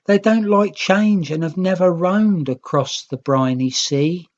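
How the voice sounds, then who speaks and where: A woman's voice: fairly low pitch, measured pace, a little mellow, lo-fi sound, voice pushed up, natural voice. A woman voicing an earnest, plucky young red nix creature, hungry and plaintive, in a children's storybook. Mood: brave, excited.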